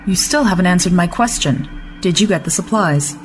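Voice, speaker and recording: Composed female starship officer actress, lower-pitched, dry and teasing, sci-fi audio drama. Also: animated, brisk, music in the background, lightly acted. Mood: wry, patient.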